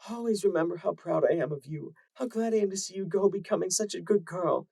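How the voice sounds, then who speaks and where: Loving mother pony, high and hushed, begging softly, played by a man. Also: quick pace, vocal fry, slightly rough, no echo, heavily acted. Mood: pleading. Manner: soft, pleading, frantic. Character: desperate loving mother mare.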